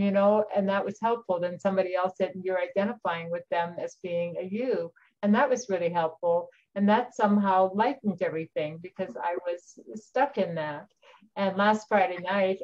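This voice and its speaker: A woman's voice: on the low side, a little mellow, muffled recording. A woman in a casual group chat over a video call, chattily recounting her week.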